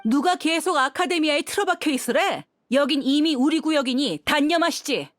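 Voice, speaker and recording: A woman's voice: high voice, lively intonation, moderate pace, no room sound. An actress playing a cool-headed, taunting female ambusher in a game, smug and menacing. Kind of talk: dramatic Korean game voice acting.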